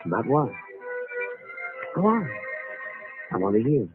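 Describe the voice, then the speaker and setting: An actor playing a smooth, calculating American impostor in a hard-boiled mid-century radio thriller, slangy and cool. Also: male voice, mid-range voice, very animated, slow pace. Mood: smooth, seductive. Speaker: actor and first-person narrator in a radio drama. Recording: tinny vintage radio recording, music behind.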